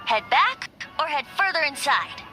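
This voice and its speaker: Venti, a high, playful boyish bard. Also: female voice, very animated, a little slow. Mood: playful, polite. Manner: teasing, airy. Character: playful, whimsical young male bard. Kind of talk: video game voice acting. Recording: music under the voice.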